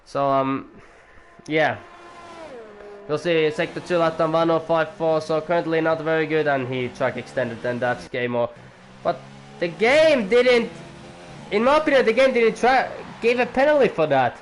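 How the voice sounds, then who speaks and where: A man's voice: fairly high pitch, normal speed, a little hiss or hum. A young man giving live sim-racing commentary as the league's owner, rambling and chatty with jokey asides to viewers.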